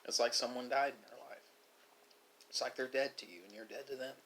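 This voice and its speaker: A man delivering a reflective monologue, speaking philosophically with dramatic pauses and emphasis. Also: mid-range voice, fairly even intonation, a bit bright, slight background noise. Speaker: video creator.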